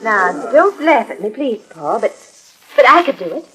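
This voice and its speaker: High-voiced, spirited young ranch girl. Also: very animated, moderate pace, crowd noise, rough recording. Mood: pleading, hesitant. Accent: American. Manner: earnest, pleading, folksy cowboy speech. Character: spirited, pleading young ranch daughter, determined to lead. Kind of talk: 1940s radio western acting. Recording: old transcription disc radio recording.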